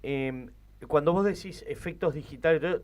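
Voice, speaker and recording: A man's voice: on the high side, moderate pace, a little mellow. An Argentine man hosting a radio show, interviewing in a casual, conversational way.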